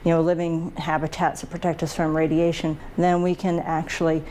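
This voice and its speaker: Lowish-voiced woman explaining. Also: little pitch movement, fairly quick, dark tone, slight background noise. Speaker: space research director and former astronaut. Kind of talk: explanatory interview answers.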